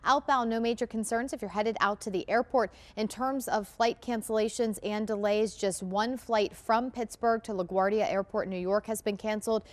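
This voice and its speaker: A woman's voice: mid-range voice, fairly even intonation, moderate pace, few pauses. A woman presenting a live television traffic report in a fluent, orderly way.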